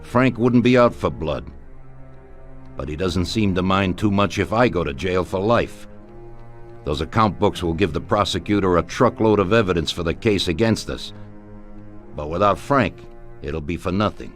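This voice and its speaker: A grave middle-aged mafia don with a low voice. He speaks deliberately, with weighty authority, as in a video game crime drama. Music plays behind him. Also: lively intonation. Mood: grim, serious.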